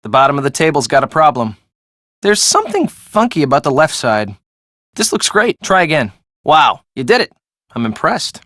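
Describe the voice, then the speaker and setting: A woman's voice: low voice, big pitch swings, moderate pace, fairly bright tone, dry recording, studio quality, exaggerated voice. An actress playing a breezy, sarcastic young woman in a video game, talking in a casual, slangy way. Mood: brisk. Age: young adult. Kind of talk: video game dialogue acting.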